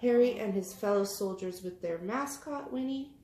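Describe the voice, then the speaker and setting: In a roomy, echoing space with clear background noise, a woman reads aloud for children. She phrases things expressively and deliberately, as a tutor would with a picture book. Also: medium pitch. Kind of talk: children's story read-aloud. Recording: echoey room, clear background noise, clicks.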